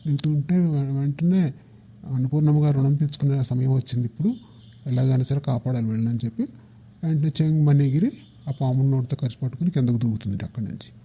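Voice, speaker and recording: A man's voice: mid-range voice, fast, warm tone, husky. A man telling a moral story informally, explaining it conversationally to young listeners as their teacher. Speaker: storyteller speaking as a teacher to children. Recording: muffled, dull lo-fi audio.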